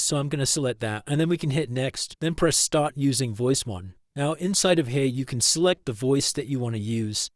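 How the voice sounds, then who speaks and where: A man giving plain, instructional step-by-step narration for a software tutorial. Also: mid-range voice, no room sound, clean studio sound. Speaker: tutorial host.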